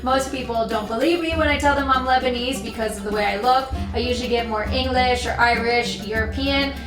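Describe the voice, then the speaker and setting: A young woman with an American accent talks to her viewers in an earnest, meandering way, circling back over her own points. Her voice sits a bit high and comes from some way off the mic in a roomy, echoing space. Music plays clearly underneath. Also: a little fast, distant mic. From United States. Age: young adult. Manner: earnest, rambling, repeats herself. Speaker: travel vlogger. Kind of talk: casual talk to camera.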